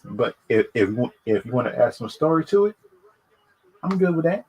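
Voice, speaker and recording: A man's voice: mid-range voice, big pitch swings, a little mellow, slightly rough, rough recording. A man chatting casually and unscripted to viewers as a livestream host, stammering and repeating his phrases as he gives opinions. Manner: halting, repetitive, stammering over phrases.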